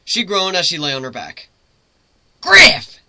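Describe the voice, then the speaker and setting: A man's voice: on the high side, animated, brisk, sharp tone, smooth, no room sound. A man reading a story aloud as its narrator, in a dramatic reading style.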